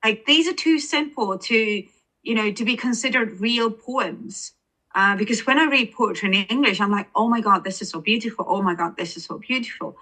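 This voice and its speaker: A woman's voice: on the high side, normal speed, pushed and bright, poor audio. A woman with an Estonian accent, an author speaking as an interview guest, earnest and searching for words with many restarts. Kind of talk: reflective interview conversation.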